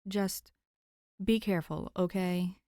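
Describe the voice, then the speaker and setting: A woman's voice: lowish voice, steady tone, slow, warm tone, no echo. Woman playing a weary, exasperated male vigilante. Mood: concerned. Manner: dry, stern, exasperated. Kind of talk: audiobook character dialogue.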